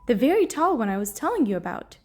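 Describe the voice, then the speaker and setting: Earnest young bear from a storybook, woman reading aloud, polite, lively delivery, faint music. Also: on the high side, fast.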